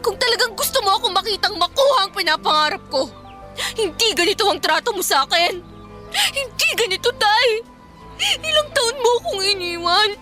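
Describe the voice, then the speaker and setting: An actress playing a bitter, defiant daughter in a Filipino radio drama, confrontational and scornful, with a Filipino accent. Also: female voice, very high voice, lively intonation, moderate pace, pushed and bright, faint music behind, full character voice. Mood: anguished, angry. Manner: confrontational, scornful, emotionally charged. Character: bitter, defiant daughter wronged by her father.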